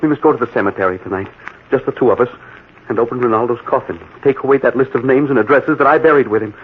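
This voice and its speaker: An actor playing an earnest, fearful, easily shaken assistant, in the theatrical style of old-time radio drama. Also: male voice, medium pitch, fast talker, lightly acted. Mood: urgent. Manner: urgent, hesitant, theatrical. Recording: tinny, echoey, noisy old radio recording.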